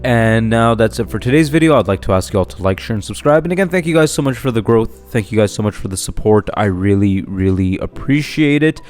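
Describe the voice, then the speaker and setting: A man's voice: lowish voice, animated, a little fast, few pauses, a little mellow, faint music behind. A man hosting an unscripted fan theory video, rambling with lots of fillers and tag questions, his voice a little off from a flu and sore throat. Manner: rambling, many fillers and "right?" tags. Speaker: YouTube channel host.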